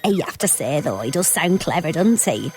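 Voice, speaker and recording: An actress playing a blunt, sardonic gift shop worker in a radio sitcom. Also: female voice, low-pitched, very animated, fairly quick, a little mellow, slight background noise.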